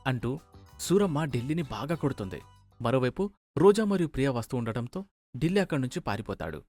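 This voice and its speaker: A man's voice: mid-range voice, big pitch swings, brisk, a little mellow, no room sound, faint music behind. A man reading scripted narration of a family moral story.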